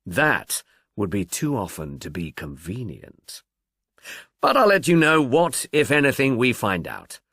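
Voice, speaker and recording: A man's voice: medium pitch, big pitch swings, measured pace, fairly bright tone, husky, no echo, clean studio sound, full character voice. A man voicing an urbane amateur detective, courteous, probing and slightly absent-minded, in an audiobook. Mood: courteous, musing. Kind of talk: audiobook character dialogue.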